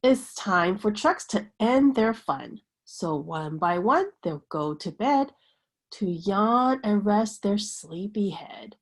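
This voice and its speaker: A woman reading a children's picture book aloud like a teacher, with an expressive, sing-song bedtime delivery. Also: mid-range voice, slow pace, husky.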